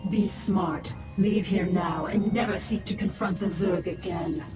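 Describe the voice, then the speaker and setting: A woman playing a cold, proud alien swarm commander in a video game, speaking in an imperious, menacing, deliberate way. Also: fairly low pitch, fairly even intonation, far from the mic, close to an everyday voice. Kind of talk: dramatic video game cutscene acting. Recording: echoing game audio under music.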